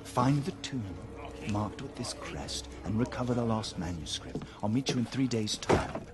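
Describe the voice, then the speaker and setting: Casting: male actor as urbane game schemer, sardonic and genteel, mid-pitched with very lively intonation, music behind. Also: normal speed, lightly acted. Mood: businesslike. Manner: urbane, wry, theatrical. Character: sardonic, genteel scheming gentleman, self-assured. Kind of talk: dramatic video game acting.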